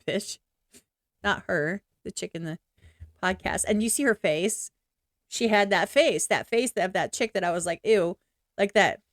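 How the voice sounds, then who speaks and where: A woman's voice: mid-range voice, measured pace, no room sound, studio quality. A woman reacting to a video with blunt, profane, unscripted opinions and frequent laughter. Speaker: reaction streamer.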